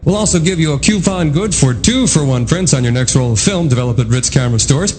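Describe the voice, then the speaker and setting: A man hosts a 1980s rock radio show in a fairly high voice. His delivery is punchy announcer patter, with music playing softly underneath. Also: expressive, quick pace.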